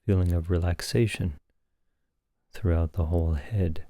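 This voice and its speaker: A man leading a guided meditation as a teacher, speaking calmly and gently in short phrases with long pauses. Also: deep voice, dry recording, studio quality.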